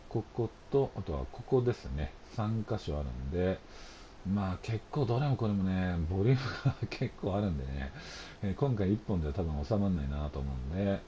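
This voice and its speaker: A man's voice: deep voice, dark tone, slight background noise. A middle-aged man giving a casual, polite, chatty let's play commentary full of fillers. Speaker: video game streamer.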